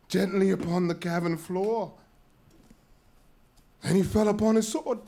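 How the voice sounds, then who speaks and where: An actor playing a grave, shaken messenger in a dramatic reading of a Greek tragedy, telling terrible news in a measured, emphatic way. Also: male voice, very high-pitched, a bit bright, exaggerated voice.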